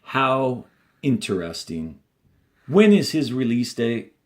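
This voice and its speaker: A man's voice: mid-range voice, very animated, slow pace, darkish tone. A man voicing a persistent, sardonic woman lawyer in a storybook, with pointed, questioning delivery. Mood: probing.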